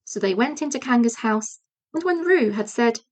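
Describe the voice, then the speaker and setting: A woman's voice: fairly high pitch, lively intonation, moderate pace, darkish tone. A woman reading a children's storybook aloud as audiobook narration. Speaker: audiobook reader.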